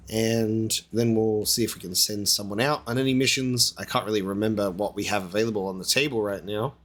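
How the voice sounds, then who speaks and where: Hoarse, chatty gaming commentator man. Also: fairly low pitch, fairly bright tone. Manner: chatty, rambling, upbeat. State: hoarse from a lost voice. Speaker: let's play host. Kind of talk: casual gameplay commentary.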